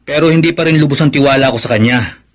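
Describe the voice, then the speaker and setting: A man's voice: mid-range voice, fast talker, lightly acted. A Filipino man playing a protective, doubting older brother in a radio drama, firm and questioning. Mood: skeptical, stern.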